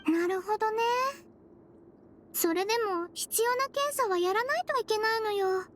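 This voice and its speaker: A woman voicing a small, sweet head nurse in an anime game, girlish and gentle, with reassuring phrasing. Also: very high-pitched, normal speed, slight background noise. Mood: calm, reassuring.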